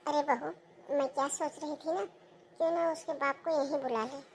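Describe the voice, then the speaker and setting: A woman's voice: high voice, steady tone, moderate pace, a little hiss or hum. A woman voicing a greedy, grasping mother-in-law. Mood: scheming. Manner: demanding, grasping. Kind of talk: Hindi cartoon voice acting.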